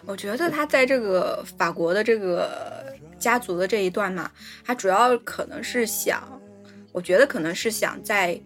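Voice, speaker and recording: A woman's voice: medium pitch, lively intonation, normal speed, fairly bright tone, soft background music. A woman co-hosting a casual film discussion, explaining her thoughts and rephrasing as she goes. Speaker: podcast co-host.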